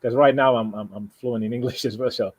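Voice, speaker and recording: Man, native Arabic speaker, Sudanese accent. Medium-pitched, conversational, halting delivery with repeated rephrasing. Dry recording. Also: steady tone, no echo. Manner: halting, repeats and rephrases, frequent "you know". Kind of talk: casual conversation, explaining personal plans.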